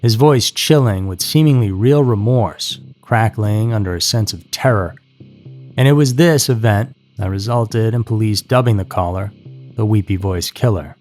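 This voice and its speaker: A man's voice: lowish voice, normal speed, a little mellow. A man reading scripted true-crime narration as a narrator. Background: music.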